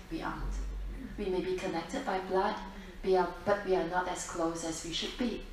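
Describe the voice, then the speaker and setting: A woman giving a dharma talk to a group, speaking deliberately, repeating herself and putting questions to her listeners. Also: lowish voice. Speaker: Buddhist nun, teacher. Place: library-like meeting room, talk to an audience. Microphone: distant camera at back of room. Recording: echoey room, distant mic, faint noise.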